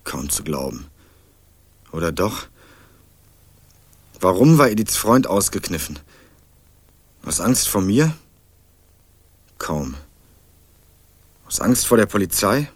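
A man's voice: deep voice, sing-song, slow pace, long pauses, breathy edge, dry recording, exaggerated voice. A young man narrating a radio crime drama in the first person, playing a dogged student turned amateur sleuth in a dry, ironic tone. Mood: wry. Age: young adult. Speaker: narrator and protagonist.

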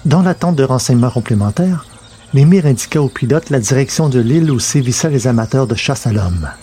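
Mellow male narrator of science fiction. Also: medium pitch, animated, a little fast, slightly rough.

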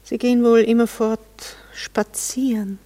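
An actress playing a proper married lady in a German radio play, guarded, arch and coolly mocking, quick to reprove. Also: a little high, flat delivery, dark tone, close to an everyday voice. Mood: curious, needling.

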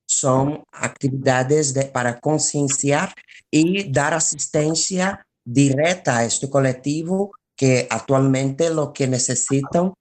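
A radio interview guest who speaks slowly at a middling pitch. The phrasing is halting, with words repeated while explaining. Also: darkish tone. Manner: hesitant, halting phrasing with repetitions. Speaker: interview guest, collective representative.

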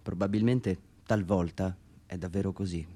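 A man reading his own literary monologue for radio theatre, dramatic and introspective, with deliberate pauses. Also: deep voice, steady tone, dark tone. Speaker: writer reading own text aloud.

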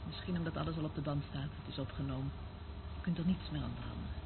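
An actress playing a weary, cynical surveillance worker in a radio play, speaking in a dry, dismissive way. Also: female voice, low-pitched, fast, creaky. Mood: brooding. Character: weary, cynical woman working as surveillance eavesdropper. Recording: noisy, tinny band-limited old radio recording.

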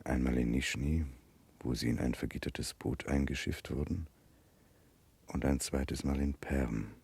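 A grave Russian gentleman in a 1960s radio play. His voice is extremely deep and strongly husky. He speaks with measured, earnest restraint. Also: steady tone, heavily acted. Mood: calm, reflective. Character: grave, remorseful Russian gentleman following a convict woman. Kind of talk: 1960s German radio drama acting.

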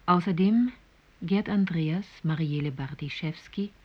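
A woman announcer reading formal scripted radio credits in a measured way. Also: lowish voice. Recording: dull, muffled vintage radio recording.

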